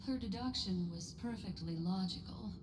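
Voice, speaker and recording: A woman voicing a composed, thoughtful female character in a game story, reasoning in a deliberate, analytical way. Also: mid-range voice, natural voice. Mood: calm, analytical. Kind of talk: scripted game story voice acting. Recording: long hall reverb, music behind.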